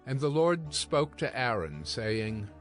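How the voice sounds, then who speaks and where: A man narrating dramatized scripture from a script in a measured, solemn storytelling manner. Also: medium pitch, sing-song, faint music behind.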